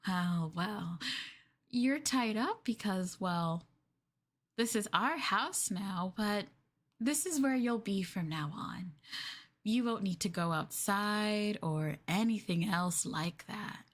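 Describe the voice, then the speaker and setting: A woman voicing a sweetly possessive, obsessive young girlfriend who holds her lover captive, in a soft, cooing, hesitant ASMR roleplay delivery. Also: mid-range voice, lively intonation, takes it slowly. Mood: sweetly menacing. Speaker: streamer roleplaying for viewers.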